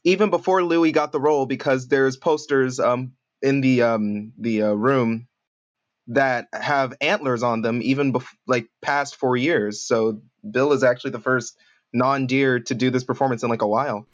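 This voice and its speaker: A man's voice: mid-range voice, fairly even intonation, moderate pace. A man chatting casually on a podcast over a lo-fi connection.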